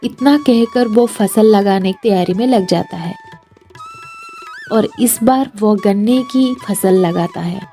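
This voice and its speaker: A woman's voice: medium pitch, slow pace, dark tone, soft background music. Woman narrating a cartoon story.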